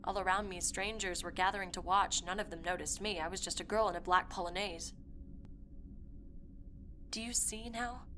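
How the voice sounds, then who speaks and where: A woman playing a haunted, obsessive young woman who recounts a dark past in an intense audio drama monologue. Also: fairly low pitch, fairly even intonation, normal speed, pauses often. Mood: frightened, urgent, then earnest, pleading. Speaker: performer reading a dramatic monologue. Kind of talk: first-person audio fiction monologue. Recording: voice over prominent music.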